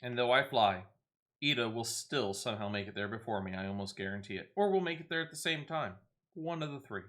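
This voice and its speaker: A man's voice: mid-range voice, lively intonation, brisk, fairly bright tone. A man playing a game and commenting as he goes, exclaiming and muttering to himself. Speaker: gaming video host. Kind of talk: playthrough commentary and reading game dialogue aloud.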